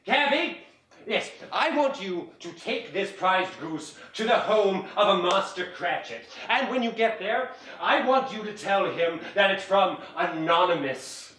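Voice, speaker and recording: A man's voice: sits high, lively intonation, unhurried, bright, forceful tone, full character voice. A man playing an older male miser in a one-man storytelling performance, theatrical, pleading and exclaiming. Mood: jubilant. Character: older male miser, desperate then giddy with joy. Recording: roomy, distant mic, faint ambience.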